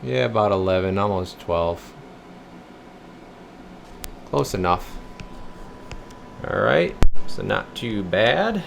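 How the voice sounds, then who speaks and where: Gravelly man talking through a bench demo. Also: lowish voice, lively intonation, slow pace, long pauses, fairly bright tone, soft background music. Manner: informal, folksy, muttering to himself while working. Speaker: repair technician presenting a bench test video. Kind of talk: casual hands-on demonstration. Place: indoor radio repair workshop.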